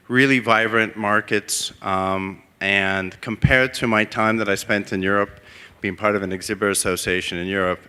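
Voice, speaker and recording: Male panelist, easygoing storyteller, crisp bright tone, echoing hall. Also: lowish voice. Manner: relaxed, anecdotal. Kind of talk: conference panel talk. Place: conference hall. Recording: reverberant hall.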